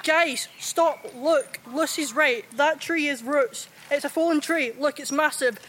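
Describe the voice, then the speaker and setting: A woman's voice: high-pitched, unhurried, fairly bright tone. A woman acting a put-upon, sensible teenage scout, earnest and whingeing, in a radio horror drama. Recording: noisy ambient background.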